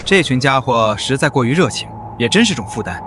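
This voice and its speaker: An actor playing a hapless young man mistaken for a great master in an animated drama, exclamatory and theatrical. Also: mid-range voice, fairly quick, background noise, close to an everyday voice. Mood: weary, breezy. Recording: music behind the voice.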